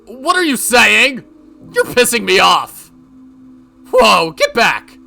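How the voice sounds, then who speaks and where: A man's voice: mid-range voice, big pitch swings, normal speed, faint music behind. Man acting out an unhinged, intense male writer. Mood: frightened, angry. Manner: shouting, wailing, taunting, theatrical. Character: unhinged male freelance writer, shifting from panic to cold menace.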